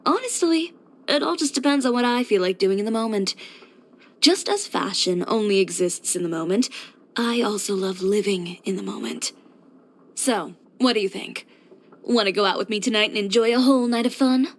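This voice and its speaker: A woman's voice: mid-range voice, normal speed, lightly acted. A young woman voicing a confident, blunt fashion designer and boutique owner in a scripted video game, with a poised, direct delivery. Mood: playful, relaxed. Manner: self-assured, direct, poised with dry sighs.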